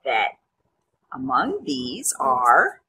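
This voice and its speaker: A woman reading aloud in a slow, halting way as a volunteer reader. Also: very deep voice, huge pitch swings, pushed and bright, slight background noise.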